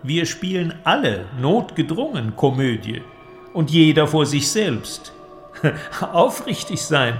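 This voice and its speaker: An actor playing an eloquent, cynical inventor who argues in a declamatory, creaky voice in a literary audio drama. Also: male voice, fairly high pitch, very animated, slow, faint music behind. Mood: sardonic, impassioned. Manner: declamatory, argumentative, rhetorical. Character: male inventor, cynical, eloquent philosophical persuader.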